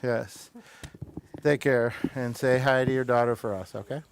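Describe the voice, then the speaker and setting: A man hosting a casual live show and narrating an audio play in a halting, informal way. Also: mid-range voice, fairly even intonation, creaky, a little hiss or hum.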